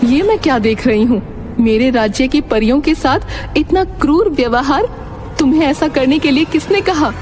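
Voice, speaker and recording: An imperious fairy queen , acted by a woman, speaks in a high voice with regal, declamatory command. She sounds like a cartoon monarch passing judgement, with music behind her. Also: moderate pace, darkish tone, lightly acted. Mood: indignant, stern.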